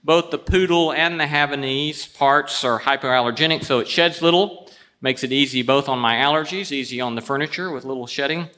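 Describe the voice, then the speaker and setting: Wry, measured male host. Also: mid-range voice, sharp tone. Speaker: show host introducing a guest. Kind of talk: prepared storytelling introduction before an audience.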